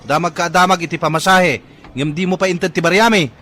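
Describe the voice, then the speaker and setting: An actor with an Ilocano Filipino accent playing a vain, fussy, hot-tempered young bully in a radio drama. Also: male voice, high voice, fairly even intonation, brisk, sharp tone, slight background noise. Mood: belligerent. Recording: busy crowd and vehicle sounds behind.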